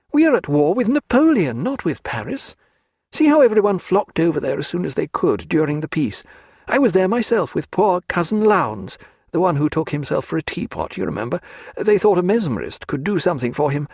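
A man's voice: wildly swooping pitch, brisk, gravelly, darkish tone, slightly rough, no room sound, studio quality, heavily acted. A man reading aloud voices a proud, desperate young aristocratic woman who is pregnant and pleads in an upper-class manner. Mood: pleading. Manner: imploring, high-strung, upper-class.

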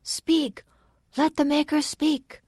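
A woman voicing a fervent crowd of citizens in an audiobook, with raised, chanting, drawn-out calls. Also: high voice, little pitch movement, a little mellow, no room sound, studio quality, pitched above the performer's own voice.

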